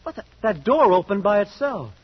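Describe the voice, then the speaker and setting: A man's voice: high voice, big pitch swings, normal speed, breathy edge, heavily acted. An actor playing an earnest, wide-eyed young man in a 1940s radio drama. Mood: amazed, puzzled. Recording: dull 1940s radio recording with faint music.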